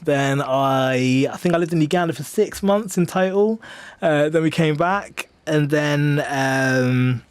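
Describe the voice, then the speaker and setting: A man's voice: fairly high pitch, unhurried, smooth, no echo. A man in his twenties with an English accent, chatting casually as a musician in a radio interview, self-deprecating and rambling with hesitations. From England. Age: 20s. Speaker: singer-songwriter being interviewed. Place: radio studio.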